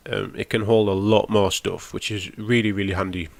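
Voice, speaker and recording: Brief: young gaming host, stuffy nasal cold, lowish voice, dry close recording, rambling walkthrough. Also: male voice, flat delivery, moderate pace, creaky, no echo. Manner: rambling, explains as he goes, frequent ums. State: has a cold, nasal and snotty. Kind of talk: casual gameplay walkthrough.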